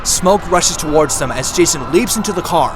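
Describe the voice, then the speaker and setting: A man's voice: fairly high pitch, expressive, normal speed, music in the background. A man giving dramatic scripted narration for an audio drama.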